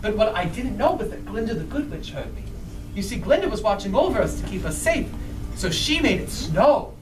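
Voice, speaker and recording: Woman as friendly Scarecrow telling children a story live; very lively intonation, distant and echoey in a room. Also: mid-range voice, brisk, music in the background, close to an everyday voice. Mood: dramatic storytelling. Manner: animated, asks the listeners questions. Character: female-voiced Scarecrow, friendly eager storyteller. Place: indoors in a bookstore. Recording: roomy live event, distant microphone.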